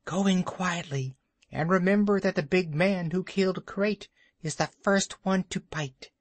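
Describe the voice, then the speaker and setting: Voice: mid-range voice, unhurried, no echo, voice pushed up, natural voice. A storyteller voicing a cold, commanding female cobra who plots in an urgent, persuasive tone. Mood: conspiring.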